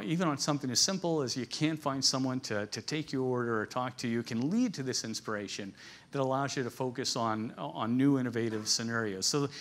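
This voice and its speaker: A man presents to a business conference in an informal, conversational way. His pitch sits in the middle range, and he tells things like stories with a light, joking touch. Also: a bit bright. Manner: conversational, jokey storytelling, chuckles at his own asides.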